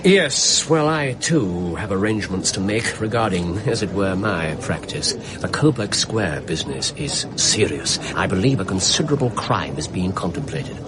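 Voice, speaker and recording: An actor playing a brisk, self-assured Victorian gentleman detective with an English accent and a crisp, theatrical manner in a radio drama. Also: low voice, expressive, a crowd in the background. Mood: purposeful, brisk.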